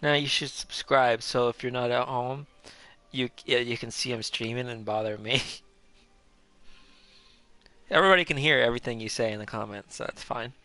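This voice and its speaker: A man's voice: medium pitch, normal speed, bright, forceful tone, no echo. A man casually chatting while livestreaming a game, rambling, mocking himself and swearing offhandedly. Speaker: game streamer.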